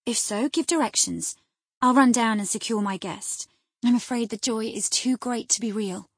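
Woman acting an excitable, high-voiced young gentlewoman. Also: moderate pace, husky, no room sound, clean studio sound. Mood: eager, playful. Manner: impulsive, gushing, imperious. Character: excitable, wilful young gentry wife, breathlessly joyful. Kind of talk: audiobook character acting.

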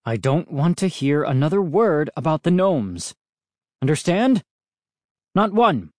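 A narrator voicing a furious middle-aged dad in a storybook, bitter and accusing, with shouted outbursts. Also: mid-range voice, big pitch swings, measured pace, no echo, clean studio sound. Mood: bitter, angry.

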